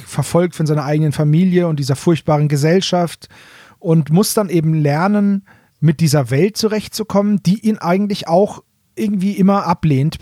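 A man's voice: on the high side, dark tone, no room sound, clean studio sound. A middle-aged German man co-hosting a podcast in casual fan chat, explaining things and often rephrasing himself mid-sentence. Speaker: podcast co-host reviewing audio dramas.

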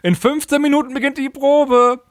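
A man's voice: extremely high pitch, steady tone, moderate pace, no room sound, studio quality. A man co-hosting a casual comedic recap podcast, slangy and mockingly acting out quoted dialogue. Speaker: podcast co-host.

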